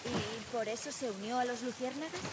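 A woman plays an outspoken, inquisitive teenage girl in a survival game. Her Castilian Spanish voice is high and direct, with clear rain noise behind. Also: normal speed, close to an everyday voice. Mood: curious. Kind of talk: naturalistic video game acting. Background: rain, outdoor game ambience.

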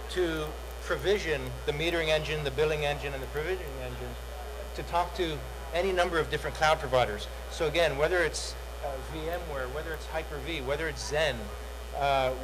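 A middle-aged man giving a software demo on a conference stage, talking in a salesy way with many fillers and repeated words. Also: fairly high pitch, background noise. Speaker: product demo presenter. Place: large dark conference hall, on stage.

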